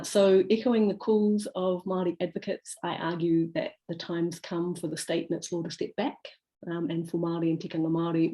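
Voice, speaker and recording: A woman giving a prepared academic talk over a video call, measured and dotted with frequent "um"s. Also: low-pitched, mellow, rough recording. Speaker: law academic presenter.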